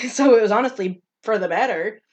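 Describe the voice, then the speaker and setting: A woman's voice: fairly high pitch, brisk, darkish tone. A young woman co-hosting a podcast in casual chat, blunt and swearing freely as she talks. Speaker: podcast co-host. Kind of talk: casual chat, reading stories aloud.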